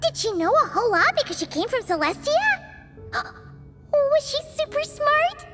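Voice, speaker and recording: A woman voicing a small, excitable, naive childlike companion creature in a video game cutscene, with a squeaky voice. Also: normal speed. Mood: curious, eager. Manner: refers to self in third person, exclamatory. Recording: background music throughout.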